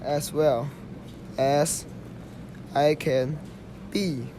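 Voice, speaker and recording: A man playing an extremely slow, placid sloth clerk in an amateur radio play, one word at a time with long gaps. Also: on the high side, fairly even intonation, warm tone. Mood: placid, unhurried. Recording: noisy amateur recording.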